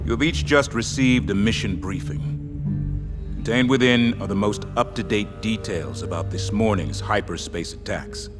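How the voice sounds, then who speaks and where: An actor playing a composed military intelligence officer in a game, giving clipped, matter-of-fact mission briefings with authority. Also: male voice, lowish voice, normal speed, lightly acted. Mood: commanding, resolute. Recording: music behind the voice.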